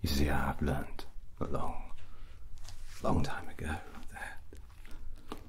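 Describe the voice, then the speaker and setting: A man playing a drunken, proud and menacing rogue prince in an ASMR roleplay, whispering slowly and deliberately in ornate language with long pauses. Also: monotone, exaggerated voice. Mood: tipsy, confiding. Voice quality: low breathy whisper. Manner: slow, deliberate, pausing, ornate wording.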